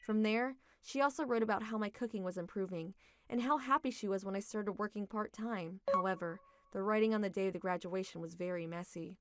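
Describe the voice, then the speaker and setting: A woman's voice: mid-range voice, a little fast, noisy background. A young adult woman narrating a manga dub in a reflective, emotional way.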